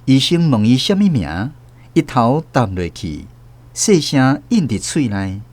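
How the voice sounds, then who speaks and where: Expressive male radio drama narrator. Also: mid-range voice, unhurried. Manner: expressive storytelling. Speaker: host and narrator. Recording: music playing under the voice.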